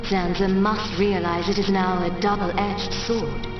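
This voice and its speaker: A woman playing a solemn, regal lady of a machine people in a video game cutscene, speaking in a stately, formal, measured way. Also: mid-range voice, flat delivery, distant mic, dull audio, close to an everyday voice. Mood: grave, wistful. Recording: echoey, set back, music behind.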